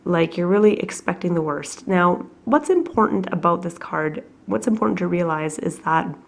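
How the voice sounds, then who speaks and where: Woman tarot reader, husky with a cold, gravelly and lowish, chatty at home. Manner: chatty and reflective, with many fillers. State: has a cold, coughing. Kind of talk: casual weekly tarot reading to camera.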